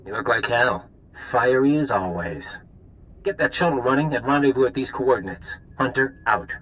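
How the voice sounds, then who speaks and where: A man's voice: mid-range voice, expressive, normal speed, a bit bright, close to an everyday voice, low-quality recording. A man acting a sardonic, ruthless intelligence operative in a video game, with a dry, smug, casually menacing delivery. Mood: dry, brisk.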